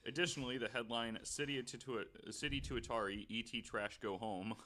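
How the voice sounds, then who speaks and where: A man's voice: medium pitch, fairly quick, bright, forceful tone, slight background noise. A man hosting a casual podcast, reading researched notes aloud and often stumbling and correcting himself.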